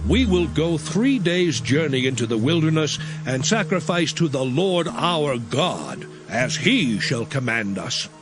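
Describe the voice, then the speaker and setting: An actor playing a solemn prophet in a scripture drama, speaking in a declamatory, commanding, deliberate way. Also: male voice, fairly high pitch, big pitch swings. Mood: firm, insistent. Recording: music behind the voice.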